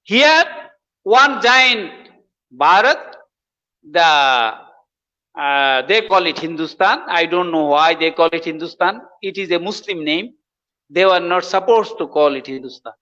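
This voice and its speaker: Male professor guest, unhurried and emphatic, bright voice, echoey muffled recording. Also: fairly high pitch, animated. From Bangladesh. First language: Bengali. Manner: emphatic, repeats phrases for stress. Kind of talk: explanatory interview talk. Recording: dull lo-fi audio with long reverb.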